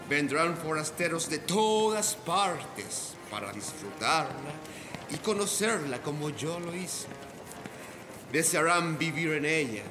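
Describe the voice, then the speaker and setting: A man playing a proud, menacing old ghost pirate captain in a radio drama, grave and theatrical. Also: a little high. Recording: music behind. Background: music.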